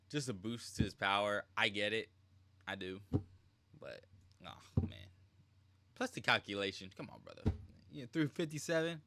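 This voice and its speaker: A man's voice: fairly low pitch, bright, forceful tone, slight background noise. A man giving casual, slangy live reaction commentary as a streamer, rambling on a close mic.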